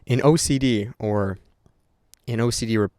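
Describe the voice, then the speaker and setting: A man's voice: mid-range voice, normal speed, a little mellow, no room sound, clean studio sound. A young man talking unscripted to his camera, rambling and swearing freely with many restarts. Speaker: content creator vlogger. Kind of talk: unscripted casual talk to camera.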